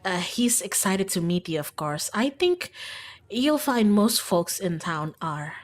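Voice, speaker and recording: Woman plays breezy young small-town gal in a visual novel, chatty monster-hunting enthusiast, very animated. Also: mid-range voice, unhurried. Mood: eager, friendly.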